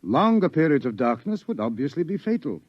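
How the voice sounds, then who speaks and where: A grave scientist in a lo-fi 1950s radio play, lecturing in a measured, ominous tone. His mid-pitched voice rises and falls with lively emphasis. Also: no echo. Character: grave older scientist warning of doom. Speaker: doctor. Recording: dull 1950s radio broadcast.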